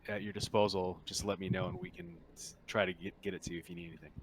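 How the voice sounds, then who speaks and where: Man voicing an easygoing, low-pitched woman colony administrator. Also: very animated, fast, gravelly, slight background noise, exaggerated voice. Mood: matter-of-fact, obliging.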